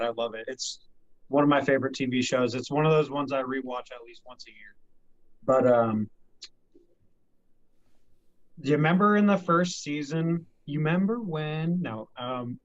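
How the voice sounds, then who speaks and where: A man's voice: mid-range voice, moderate pace. A man co-hosting a casual podcast, rambling and laughing as he acts out quotes from shows. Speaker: podcast co-host. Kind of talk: casual chat. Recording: dull lo-fi podcast audio.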